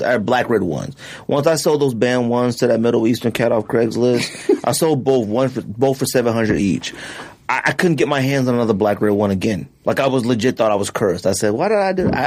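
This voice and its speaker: A man co-hosting a casual podcast. His voice sits in an ordinary middle pitch, and he talks in an animated, joking way, repeating lines for effect. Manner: animated, laughs often, repeats phrases for effect. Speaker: podcast co-host. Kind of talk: casual sneaker podcast chat.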